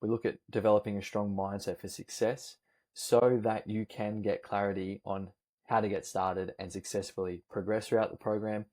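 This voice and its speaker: A man from Australia with an Australian accent, a nutrition coach making an upbeat, persuasive pitch that lists points one after another. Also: flat delivery, moderate pace, a little mellow. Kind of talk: sales pitch video.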